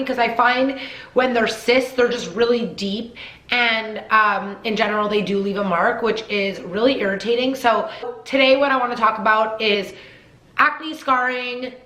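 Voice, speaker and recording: A young woman talks through skincare tips in a chatty, emphatic way, repeating herself to drive a point home. Her voice sits a touch high and sounds a little nasal and blocked from a cold. The room around her gives a noticeable echo. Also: a bit bright. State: congested from a cold, slightly nasal. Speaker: beauty vlogger. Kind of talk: casual skincare advice vlog.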